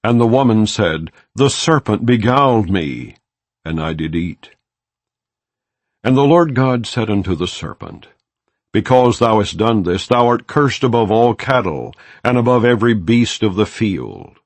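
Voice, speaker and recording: Man reading scripture in a lively low voice. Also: dry recording. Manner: measured, solemn recitation. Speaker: Bible reader.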